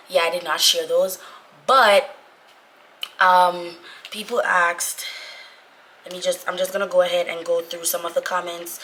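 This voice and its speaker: A young woman talks casually to camera in a slightly low, forceful voice, raspy and tired out by sickness. She is informal and repeats her phrases to drive a point home. Faint room ambience sits behind her. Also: a little hiss or hum, poor audio. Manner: informal, repetitive for emphasis. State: sick, voice going away. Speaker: vlogger, Navy corpsman trainee. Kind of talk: casual chatty vlog talk to viewers.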